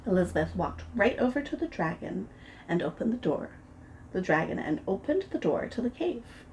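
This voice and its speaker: A woman reading a children's picture book aloud, gentle and expressive as a preschool storyteller. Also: mid-range voice, normal speed, slight background noise. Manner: gentle and expressive, voices the characters.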